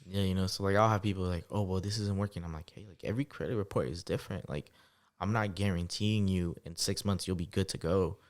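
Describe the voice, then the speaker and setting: Young man, deep voice, rapid talk, casual storytelling, filler-heavy. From United States, Salvadoran family. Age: 20s. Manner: relaxed storytelling with many fillers. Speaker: guest entrepreneur. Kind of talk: casual podcast interview.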